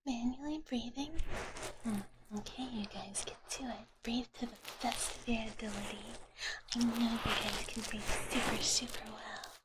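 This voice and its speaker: A woman hosting a live ASMR stream, speaking to her chat in a soft, breathy, mostly unvoiced whisper with a gentle, reassuring manner. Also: on the high side, fairly even intonation, moderate pace, heavy background noise. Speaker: livestream ASMR host.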